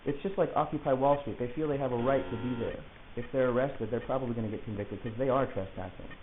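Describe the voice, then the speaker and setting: Through a tinny, phone-like recording comes a man acting a male defendant in an audio drama. He talks quickly and argues in an insistent, pedantic way, methodical and stubborn, with faint hiss behind him. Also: mid-range voice, natural voice. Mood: defiant. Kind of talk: argumentative dialogue in an audio play.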